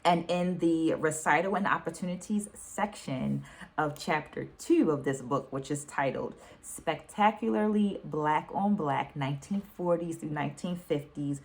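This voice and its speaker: A middle-aged woman talking to viewers in a reflective book vlog, speaking articulately and deliberately, repeating phrases for emphasis. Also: deep voice, vocal fry. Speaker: book reading vlogger, dancer and educator. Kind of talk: reflective book-takeaway vlog, semi-scripted talk to viewers.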